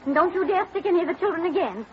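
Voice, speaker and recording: An actress playing a young, prim frontier schoolmistress who is firm but easily flustered, in old-time radio drama style. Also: female voice, very high voice, animated, very fast. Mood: firm, brisk. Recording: muffled, noisy vintage radio recording with crowd.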